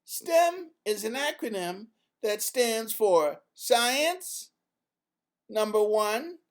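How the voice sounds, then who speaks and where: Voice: medium pitch, pitched above the performer's own voice. A storybook narrator voicing a confident girl scientist who teaches in a firm, friendly, emphatic way. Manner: emphatic, instructive, clearly enumerating.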